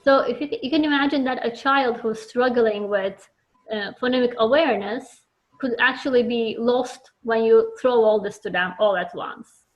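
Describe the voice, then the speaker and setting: A woman's voice: on the high side, normal speed. A woman from Turkey with a Turkish accent, earnestly explaining her work as a children's book author in an interview, often restarting her sentences. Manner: earnest, thoughtful, with frequent restarts. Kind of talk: interview answers. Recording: dull lo-fi audio.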